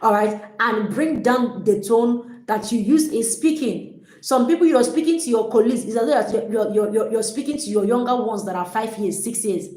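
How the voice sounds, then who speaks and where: A woman giving an energetic, emphatic motivational talk as a relationship coach, often repeating her points. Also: on the high side, moderate pace. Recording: echoey room.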